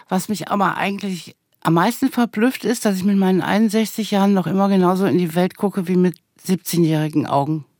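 A woman's voice: fairly low pitch, a little mellow, no echo, clean studio sound. A woman in her sixties, a journalist and author, speaking bluntly with self-mocking humour. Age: 60s. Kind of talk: candid personal talk.

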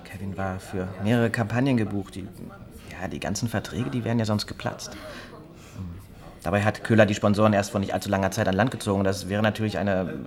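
An actor playing a modest, eager young footballer in a drama, speaking earnestly and a bit haltingly. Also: male voice, lowish voice, fairly even intonation, natural voice. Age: young adult.

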